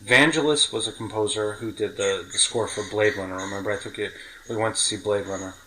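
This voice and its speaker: Low-voiced middle-aged New man reminiscing. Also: fairly even intonation, fairly quick, bright, forceful tone, poor audio. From New York City. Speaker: podcast host. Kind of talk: casual reminiscing conversation.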